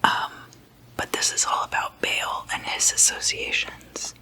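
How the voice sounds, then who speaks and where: A woman whispers in an ASMR style, breathy and unvoiced, with a crisp, very bright hiss to her words. She takes her time, pacing each phrase slowly and deliberately. Her delivery is casual and chatty, like sharing gossip at a sleepover. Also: poor audio. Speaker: ASMR host.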